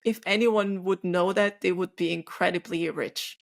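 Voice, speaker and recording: A young woman from Austria hosts the podcast, speaking English with German as her first language. Her voice sits a little low for a woman, and she asks her questions in a thoughtful, curious way. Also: fairly even intonation, normal speed, creaky. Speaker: podcast host. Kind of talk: conversational interview.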